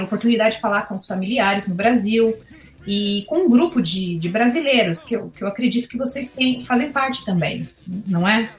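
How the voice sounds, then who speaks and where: A woman's voice: medium pitch, reverberant. A Brazilian woman, an educator, speaking earnestly in a podcast conversation with some hesitant fillers. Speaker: guest educator. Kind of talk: casual podcast chat.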